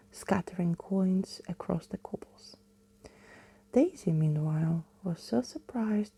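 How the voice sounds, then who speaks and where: A woman reading a storybook and chatting softly as an ASMR host, in a hushed, breathy, soothing whisper close to the microphone. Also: medium pitch.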